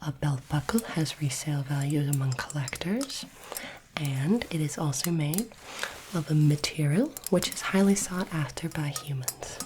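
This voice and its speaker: Casting: woman playing polite alien examiner of human items, low soft whisper, formal and curious, ASMR roleplay, faint background noise. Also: close to an everyday voice. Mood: formal, approving. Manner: slow, deliberate, formal phrasing.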